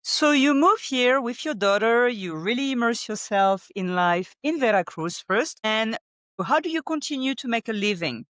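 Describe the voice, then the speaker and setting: A woman's voice: on the high side, animated, normal speed, fairly bright tone, no echo. Woman hosting, dry studio-like sound. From Europe. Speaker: podcast host. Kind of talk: conversational interviewing.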